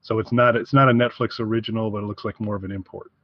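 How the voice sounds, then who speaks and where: A man co-hosting a casual review podcast, talking haltingly as he repeats and rephrases his way through each point. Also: fairly low pitch, fairly even intonation, no echo. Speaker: podcast co-host. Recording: dry, slightly dull podcast audio.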